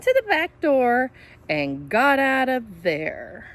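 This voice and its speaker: Slow, animated woman reading to children. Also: fairly high pitch. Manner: addresses children directly, playful and dramatic. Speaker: storytime host reading a picture book. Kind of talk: animated read-aloud for children with chatty asides.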